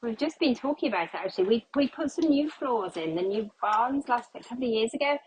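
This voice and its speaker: A woman's voice: a little high, animated, brisk, slight background noise, rough recording. Breathless, slightly congested woman. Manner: chatty, self-deprecating, thinks aloud. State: a little out of breath, losing her voice. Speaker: cleaning vlogger. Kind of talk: casual chat while cleaning. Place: home kitchen while mopping.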